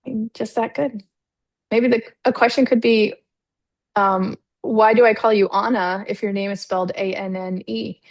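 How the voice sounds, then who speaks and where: A woman's voice: mid-range voice, steady tone, normal speed, dry recording. Chatty woman hosting a webinar. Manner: chatty, laughs often, many fillers. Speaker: webinar host. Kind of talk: casual webinar moderating. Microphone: video call.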